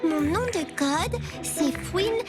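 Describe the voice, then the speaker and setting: Cartoon girl about ten, clever schemer, high and bright. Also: heavily acted. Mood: secretive. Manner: playful spy-radio talk, quick and lively. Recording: cartoon dub with music behind.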